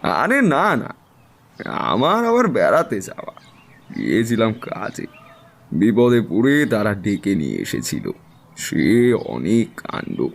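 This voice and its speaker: An actor playing a seasoned, middle-aged tantric astrologer, reminiscing in a creaky, reflective way as in a dramatised audiobook. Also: male voice, on the low side, wildly swooping pitch, takes it slowly, big-room sound, heavily acted. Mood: amused, animated. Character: Taranath, seasoned Bengali tantric astrologer, easygoing storyteller.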